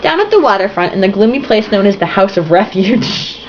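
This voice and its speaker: A woman's voice: mid-range voice, big pitch swings, a little fast. A young woman reading a novel aloud, tipsy, giggly and sarcastic, breaking off for swearing asides. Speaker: reader and commentator. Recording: dull lo-fi home recording with faint hiss.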